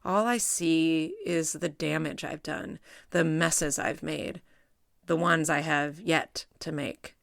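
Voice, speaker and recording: Low gravelly woman reading her essay. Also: fairly bright tone, no echo, clean studio sound. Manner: measured, reflective, wry. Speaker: essay reader, psychologist. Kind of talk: reading her own personal essay aloud.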